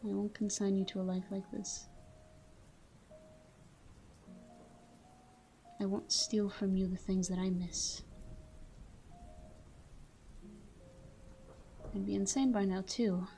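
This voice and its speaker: A caring but tormented vampire woman played by an actress murmurs to her lover in an ASMR roleplay. Her tone is dark and velvety, with little rise or fall, often dropping toward a whisper. Faint music runs behind her. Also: mid-range voice, little pitch movement, brisk, natural voice. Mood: wistful, regretful. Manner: soft, tender, breathy murmur slipping into whispers. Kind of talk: intimate scripted ASMR roleplay monologue.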